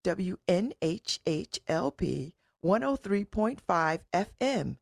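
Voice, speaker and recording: A woman from New Haven reading a slow, deliberate station identification. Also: lowish voice, very animated, vocal fry, no echo, studio quality. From New Haven, Connecticut. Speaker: station ID speaker.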